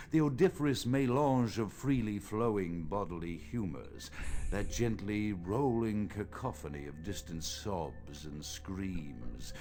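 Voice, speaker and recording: An actor playing a deranged, erudite vampire psychologist in a video game, delivering a florid, theatrical monologue and savoring each phrase. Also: male voice, low voice, gravelly, a little mellow, heavily acted.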